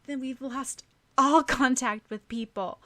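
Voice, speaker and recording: A woman's voice: high voice, steady tone, slow, fairly bright tone, pitched above the performer's own voice. A woman voicing a blunt, worried teenage girl in an audiobook story. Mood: alarmed.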